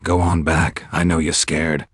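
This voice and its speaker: A man voicing a folksy old male storekeeper with a rusty, creaky voice, giving a drawling warning. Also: a bass voice, flat delivery, unhurried, no room sound, studio quality, full character voice. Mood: ominous.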